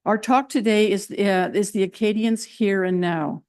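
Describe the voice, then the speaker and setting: A woman's voice: mid-range voice, fairly even intonation, moderate pace, darkish tone, smooth. A woman hosting a seniors' college event, announcing courses and introducing the speaker in a chatty way with small restarts.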